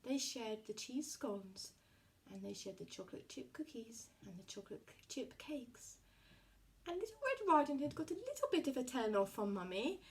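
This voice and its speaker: A woman telling a children's puppet story in animated fashion, with simple, repetitive phrasing aimed at small children. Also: high voice.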